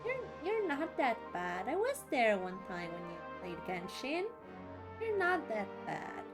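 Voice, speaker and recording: Slow-paced, playful woman streamer, high voice. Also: wildly swooping pitch, over music. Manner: rambling, playful. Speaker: video game streamer. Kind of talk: casual live gameplay chat.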